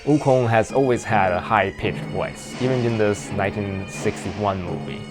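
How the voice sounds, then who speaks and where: Low-voiced man with a Chinese accent. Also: normal speed. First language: Mandarin. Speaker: video creator, narrator. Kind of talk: explanatory game video commentary. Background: music.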